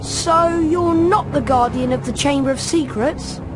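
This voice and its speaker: A woman's voice: sits high, a little slow. A performer, a woman, playing a brave, earnest young schoolboy wizard in a video game. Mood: nervous, earnest. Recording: music under the voice.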